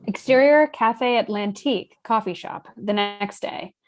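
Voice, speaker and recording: A woman serves as narrator for a script read-through. She reads stage directions in a mid-pitched voice, as scripted narration. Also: measured pace.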